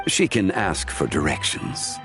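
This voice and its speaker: An actor playing a calm, dignified, cryptic sage in an anime game, speaking in a measured, formal, philosophical manner. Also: male voice, deep voice, music in the background, heavily acted.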